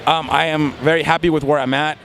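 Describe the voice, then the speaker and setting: A man's voice: fairly high pitch, flat delivery, fast talker, a bit bright, slight background noise. A man in his twenties from Mexico, speaking in a casual interview outdoors, earnest and reflective with many fillers. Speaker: interviewee, competitive gamer and law student.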